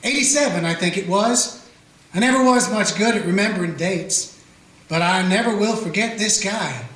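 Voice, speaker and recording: A man's voice: high voice, moderate pace, a bit bright. A man playing a folksy small-town frontier sheriff who narrates in a drawling, plainspoken way. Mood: reminiscing. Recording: roomy, echoey room.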